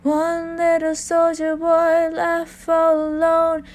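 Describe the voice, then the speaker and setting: Eerie rhyme reciter, played by a woman, high pitch, little variation, measured singsong, stage prologue, music underneath. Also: completely flat delivery, darkish tone. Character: eerie nursery-rhyme reciter counting down deaths.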